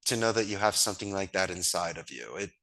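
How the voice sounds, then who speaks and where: Upbeat bright-voiced man. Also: low-pitched, expressive, fast talker, low-quality recording. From Northeast Philadelphia, USA. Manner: enthusiastic, storytelling, folksy sayings. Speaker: guest, call center founder. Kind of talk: casual interview talk.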